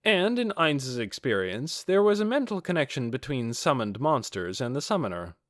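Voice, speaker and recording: A man's voice: mid-range voice, sing-song, normal speed, a bit bright, dry recording, clean studio sound. A man reading scripted fantasy narration as an audiobook. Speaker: audiobook reader.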